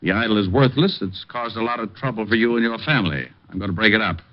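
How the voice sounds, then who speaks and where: A man's voice: on the low side, fast talker, background noise, lightly acted. An actor playing a dry, sardonic private detective who narrates his own case in a 1950s radio drama. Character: wisecracking, unflappable male private eye. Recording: muffled old radio broadcast.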